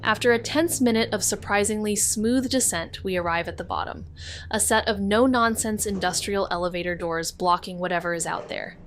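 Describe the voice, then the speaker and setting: A woman's voice: mid-range voice, normal speed, slight background noise, lightly acted. A woman voicing a wry young amateur sleuth who narrates a noir audio drama with dry, sardonic remarks. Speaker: narrator and investigator.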